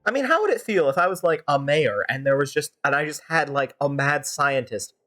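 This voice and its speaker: A streamer giving casual, rambling game commentary in a mock-exasperated, animated way. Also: medium pitch, fairly quick, soft background music. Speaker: streamer fan-dubbing a game live.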